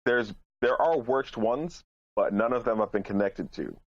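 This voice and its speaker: Male podcast panelist, deep-ish and blunt, casual and opinionated, slightly dull, dry audio. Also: normal speed, breathy edge, dry recording.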